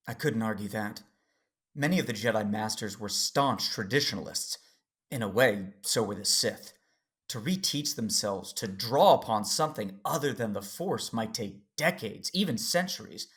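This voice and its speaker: A man playing an earnest, worried Jedi father who tells his story in serious, deliberate audio drama narration. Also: lowish voice, expressive, a bit bright. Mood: grave, worried. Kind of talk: first-person audio drama narration and dialogue.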